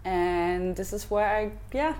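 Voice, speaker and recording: A woman in her thirties, from Ukraine and raised in Germany, who speaks English as a second language. She is a podcast guest chatting casually and often pauses to search for words. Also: medium pitch, darkish tone. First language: not English. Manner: hesitant, searching for English words, with many fillers. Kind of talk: casual interview chat.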